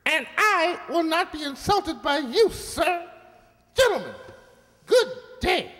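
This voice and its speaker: A man's voice: extremely high pitch, lively intonation, slow pace, sharp tone, full character voice. A man voicing an indignant, cheeky talking mouse with a defiant, mock-formal manner in a comic radio play. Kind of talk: comic science-fiction radio play acting. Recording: echoey hall with long reverb.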